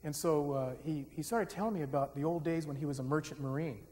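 A middle-aged man gives a public lecture on stage in a large dark auditorium, speaking into a podium microphone in a conversational, self-deprecating way. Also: a little high, quick pace. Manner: conversational, self-deprecating, gets the audience singing. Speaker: forum speaker. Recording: reverberant hall with faint hiss. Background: live audience.

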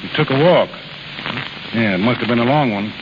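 An actor playing a gruff, slangy carnival barker and protective father, in 1930s radio drama style. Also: mid-range voice, a little fast, lightly acted. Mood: scolding. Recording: scratchy, dull 1930s radio broadcast.

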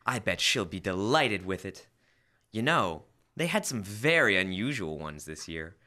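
A young man acts out a flustered, earnest radio-comedy husband. His delivery swings up and down with lively intonation, in the manner of an old-time radio show. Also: lowish voice, a little slow, heavily acted. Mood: eager, pleading. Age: teens to young adult. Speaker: student actor. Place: school library room, staged performance. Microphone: stand microphone on stage.

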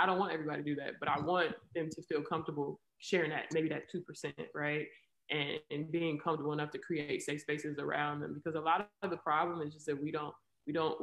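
A young woman in her twenties, speaking as an interview guest over a video call from her living room, candid and reflective, with lots of "um" and "right?". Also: low voice. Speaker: interview guest, athlete and poet. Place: living room at home, indoors. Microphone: video call webcam. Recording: roomy echo on a video call.

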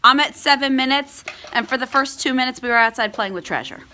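A woman's voice: high-pitched, fairly quick, pushed and bright. A middle-aged woman, an alpaca farmer, chatting and explaining as she films herself on a phone in a cold wooden barn. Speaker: alpaca farmer and vlog host. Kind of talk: casual explanatory vlog. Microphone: phone held at arm's length.

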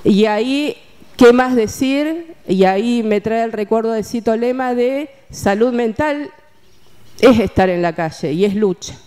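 Clear-voiced older Argentine woman in interview. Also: fairly high pitch, lively intonation, a little slow, warm tone. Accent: Argentine Spanish. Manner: reflective, explanatory, often checks in with short tag questions. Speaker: interview guest, mental health worker. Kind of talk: conversational interview answers. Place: outdoors at a table by a street. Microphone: handheld microphone.

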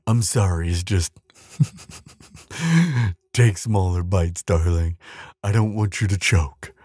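Man playing a deep, husky gentle giant. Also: animated, dry recording, clean studio sound, full character voice. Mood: doting, gentle. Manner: soothing, doting, unhurried. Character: huge gentle male giant, tender and caring. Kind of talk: audio roleplay acting, softly spoken to the listener.

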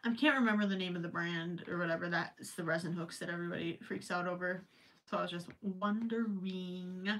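A woman's voice: on the low side, fairly even intonation, fairly quick, a bit bright, distant mic, a little hiss or hum. A young woman with a Minnesota accent chatting casually while crocheting on a livestream, rambling with joking asides. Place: small indoor craft room with yarn shelves.

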